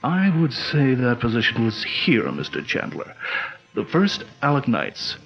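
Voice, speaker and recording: A man playing a calm, commanding male pulp detective hero, in a measured, authoritative 1940s radio style. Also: medium pitch, creaky, faint music behind, full character voice. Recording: dull lo-fi old-radio sound.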